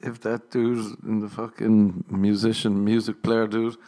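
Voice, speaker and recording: A low-pitched man talks loosely on his podcast. He rambles from story to story, swears freely and breaks into laughter at his own lines. His delivery is casual and unscripted. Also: little pitch movement, a little slow, dark tone. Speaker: comedian and podcast host. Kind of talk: casual comedic monologue. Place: living in Los Angeles.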